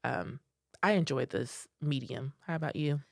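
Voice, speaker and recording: Deep-voiced woman, playful podcast co-host. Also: moderate pace, vocal fry, no echo, studio quality. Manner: playful, upbeat storyteller. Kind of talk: casual podcast chat.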